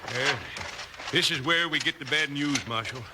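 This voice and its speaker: Casting: male actor as cautious, plain-spoken American miner, slightly high voice, crackly 1950s radio western. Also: normal speed, lightly acted. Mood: grave.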